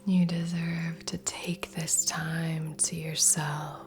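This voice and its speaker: Soft whispery asmr lady, super slow n soothing, low-ish and even, music behind her. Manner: gentle, soothing, unhurried. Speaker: ASMR Reiki host and meditation guide. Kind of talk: ASMR guided meditation. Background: background music.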